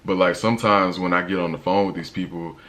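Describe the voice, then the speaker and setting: A young man with a deep voice, talking casually in a travel vlog with a laid-back, slangy manner and lots of fillers. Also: fairly even intonation, fast talker, fairly bright tone. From United States. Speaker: travel vlogger.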